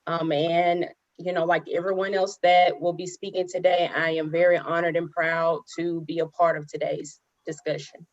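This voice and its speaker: A woman from Alabama, a high school English teacher, speaking earnestly on an education panel with frequent pauses. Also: little pitch movement, dull audio. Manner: earnest, measured, frequent um and you know. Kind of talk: panel discussion.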